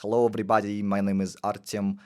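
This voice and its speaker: A man's voice: on the low side, level tone, fast talker, a little mellow, clean tone, natural voice. Man mimicking a stiff beginner with a heavy Russian accent. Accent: strong Russian.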